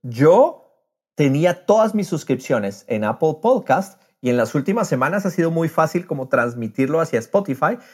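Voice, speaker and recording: A man with a fairly high, husky voice talks casually as if on a podcast. His pitch swings widely and lively, and he slips English phrases into his sentences. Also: moderate pace. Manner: drops English phrases into Spanish. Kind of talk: casual conversational podcast talk.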